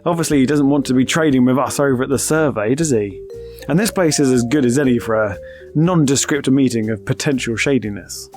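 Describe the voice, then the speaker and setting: An actor playing an easygoing, shrewd space adventurer, speaking in a wry, conversational way. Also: male voice, mid-range voice, a little fast, darkish tone, soft background music, close to an everyday voice. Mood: explaining.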